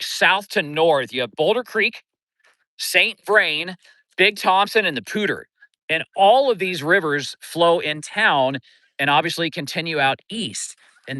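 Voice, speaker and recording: A man's voice: medium pitch, no room sound, poor audio. A middle-aged man from Kansas City with a Midwestern accent, chatting casually with viewers on a livestream from beside a river outdoors. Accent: Midwestern American. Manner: relaxed, rambling, greets viewers by name. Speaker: livestream host and fishing coach.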